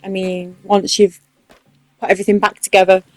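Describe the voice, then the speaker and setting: A woman's voice: mid-range voice, level tone, moderate pace, darkish tone, dry recording, soft background music. Chatty Mancunian woman, dry close sound. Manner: chatty, explaining step by step. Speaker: game build tutorial host. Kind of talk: casual commentary over gameplay.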